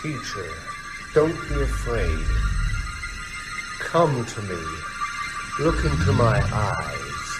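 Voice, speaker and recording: An actor playing a menacing undead vampire in a horror radio drama, coaxing hypnotically in slow, commanding, drawn-out words. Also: male voice, on the low side, very animated, full character voice, poor audio. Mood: hypnotic coaxing. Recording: loud music over the voice.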